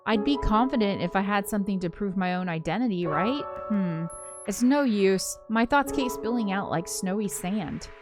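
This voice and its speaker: A woman's voice: mid-range voice, animated, moderate pace, music in the background, natural voice. A woman reading aloud as an anxious, introspective young ghost woman, hesitant and reflective, in visual novel narration. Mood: flustered, unsure.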